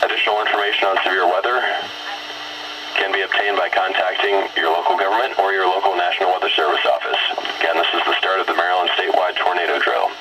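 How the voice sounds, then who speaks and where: A synthetic man's voice reading a formal automated weather bulletin in a flat, measured way through a radio speaker. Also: deep voice. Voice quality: synthetic, robotic. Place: played from weather radio on wooden desk. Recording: very noisy weather radio, re-recorded in room.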